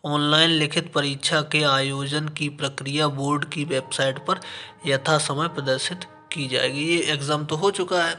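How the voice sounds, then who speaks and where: Higher-pitched man explaining. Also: fairly even intonation, moderate pace, bright, forceful tone, soft background music. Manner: repeats phrases for emphasis, Hindi mixed with English terms. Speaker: exam coaching teacher. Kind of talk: explanatory lesson, reading and explaining an official notice.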